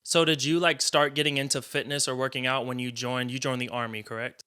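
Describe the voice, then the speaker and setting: A man's voice: mid-range voice, flat delivery, fairly quick, pushed and bright, clear, resonant tone. An American man hosting a casual interview, talking in an informal, conversational way. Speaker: interviewer, host.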